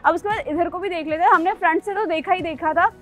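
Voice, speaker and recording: A woman's voice: very high voice, quick pace, fairly bright tone, soft background music. A young woman hosting a house tour in a chatty, conversational way, speaking straight to viewers and mixing in English words. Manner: conversational, addressing viewers directly. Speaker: property tour host. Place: large house, its covered poolside and garden.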